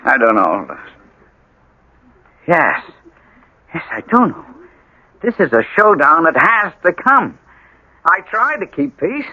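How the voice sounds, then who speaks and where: Worked up husband in old radio play, high voice swinging all over, stammers, insistent. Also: male voice, very animated, slow pace, pauses often, sharp tone, exaggerated voice. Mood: brooding, resolved. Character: agitated suspicious small-town husband, obsessed with a prankster. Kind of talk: old-time radio drama acting. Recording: muffled old radio recording, music behind.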